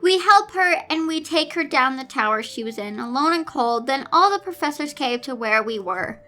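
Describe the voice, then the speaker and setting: Woman, bright high voice, reads stories aloud with exasperated, sarcastic commentary; music underneath. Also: lively intonation, normal speed. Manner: theatrical, incredulous, sarcastic asides. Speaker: host reading fan fiction aloud with commentary.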